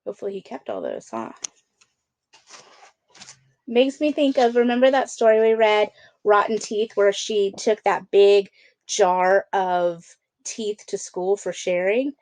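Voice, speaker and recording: A woman's voice: medium pitch, animated, measured pace, a little mellow. A woman teacher reading a picture book aloud, adding conversational explanations and check-in questions for children.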